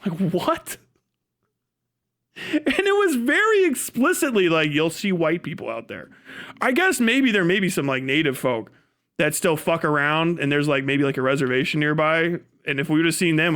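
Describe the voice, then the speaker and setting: A man with an American accent and a somewhat high voice that swings widely in pitch. He rambles casually as a streamer, slangy and sweary, stretching his stories with exaggeration. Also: lively intonation, a little fast, a bit bright. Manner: breaks into laughter at own stories. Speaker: live streamer.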